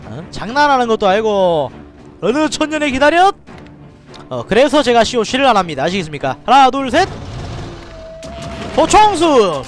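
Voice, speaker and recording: A man from Daegu with a Daegu dialect accent, giving excitable live commentary on a strategy game, shouting orders and muttering to himself. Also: very high voice. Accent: Gyeongsang (Daegu) dialect. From Daegu, South Korea. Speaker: game streamer.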